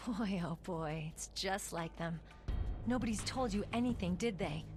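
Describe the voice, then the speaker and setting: An actress playing a young American rookie soldier in a video game, feisty and wary. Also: female voice, medium pitch, normal speed, natural voice. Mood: frank, teasing. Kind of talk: video game cutscene acting. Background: game music.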